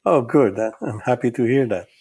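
Halting Italian-born man, native Italian speaker. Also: medium pitch, darkish tone, breathy edge. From Italy. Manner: halting, with many repeated words and restarts. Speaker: psychoanalyst guest. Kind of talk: thoughtful interview answers.

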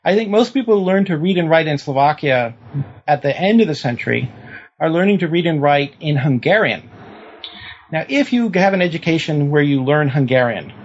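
Higher-voiced male academic, slightly dull audio. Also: animated, brisk. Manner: precise, explanatory, checks understanding. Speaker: interviewed historian and author. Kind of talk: academic explanation in conversation. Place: lives in New Zealand.

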